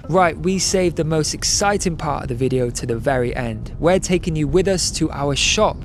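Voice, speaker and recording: A man giving calm, scripted voice-over narration, explaining each step clearly. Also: a little high, moderate pace, slight background noise. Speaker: vlogger narrating.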